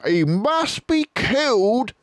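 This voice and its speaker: A man's voice: very high-pitched, very animated, takes it slowly, no echo, clean studio sound, pitched above the performer's own voice, heavily acted. A man voicing a crafty, mean, lean farmer in a storybook, curt and bossy as he schemes. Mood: vengeful.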